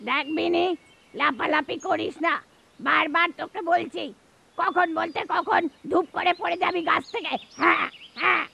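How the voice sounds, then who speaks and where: A woman voicing excitable, cheeky cartoon birds in a children's fable, with squeaky, chattering, repetitive delivery. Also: normal speed, creaky, highs cut off, heavily acted. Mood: fussing. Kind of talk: children's animated fable acting. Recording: noisy, clicks, birdsong ambience behind.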